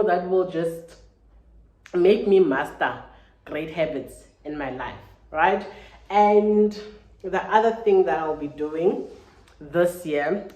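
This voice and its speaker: A woman's voice: sits low, a little mellow. A woman in her thirties giving a casual, earnest motivational talk, often adding "right?" and "you know". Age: 30s. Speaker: vlogger. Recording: roomy echo.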